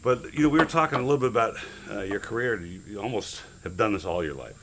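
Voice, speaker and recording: Very deep-voiced middle-aged male host, chatty, enthusiastic, faint hiss. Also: expressive. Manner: chatty and enthusiastic, quick reactions. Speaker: show host. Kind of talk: casual interview chat.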